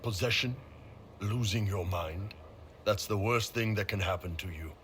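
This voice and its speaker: A man playing a big, gruff horned warrior in a video game, talking bluntly and casually with some swearing. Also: on the low side, normal speed, fairly bright tone, slight background noise, lightly acted. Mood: brooding, frank. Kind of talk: video game cutscene dialogue.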